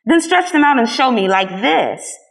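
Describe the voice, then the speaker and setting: A woman as a brash, high-pitched wild rabbit. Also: big pitch swings, moderate pace. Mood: mocking. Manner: brash, taunting, exclaiming. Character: bold, boastful, teasing young male wild rabbit.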